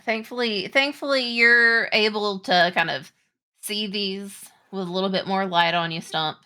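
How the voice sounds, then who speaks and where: A woman game master improvising narration and rules in a halting, conversational way. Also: medium pitch, bright, forceful tone, clean tone. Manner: halting, conversational, pieced-together descriptions. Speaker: game master of a tabletop roleplaying game.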